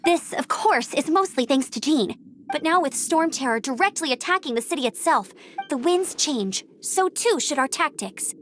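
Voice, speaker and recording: Eager, high-voiced young knight. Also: female voice, lively intonation, normal speed, fairly bright tone, slight background noise. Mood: chatty. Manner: eager, talkative. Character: energetic, earnest young female knight scout. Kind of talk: video game voice acting.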